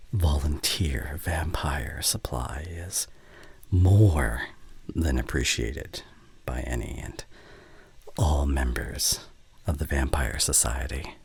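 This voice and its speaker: A man acts out an ancient male vampire, courtly and possessive, in an ASMR roleplay. The voice is extremely deep and very breathy, often sinking into a whisper. He speaks slowly and formally with small hesitations, right up against a dry, close microphone. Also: darkish tone, no room sound, exaggerated voice. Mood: grateful, sheepish. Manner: formal, old-fashioned, hesitant phrasing. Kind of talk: intimate ASMR roleplay monologue. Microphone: close studio condenser mic.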